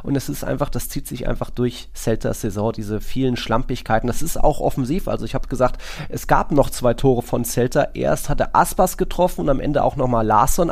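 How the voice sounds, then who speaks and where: A man co-hosting a football podcast, talking casually in a rambling, colloquial and animated way. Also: mid-range voice, creaky. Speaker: podcast host.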